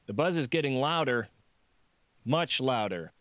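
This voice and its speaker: A man's voice: medium pitch, lively intonation, unhurried, fairly bright tone, no room sound, voice pushed up. A man playing an uneasy, grumbling male foreman. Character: irritated, uneasy male foreman talking over an intercom.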